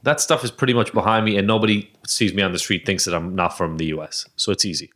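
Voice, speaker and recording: Brief: male host, forties, from Israel, deep voice, reflective, feels his way into questions. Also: steady tone, quick pace, a bit bright. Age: 40s. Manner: thoughtful, searching questions with self-interruptions. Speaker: podcast host. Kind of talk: casual interview.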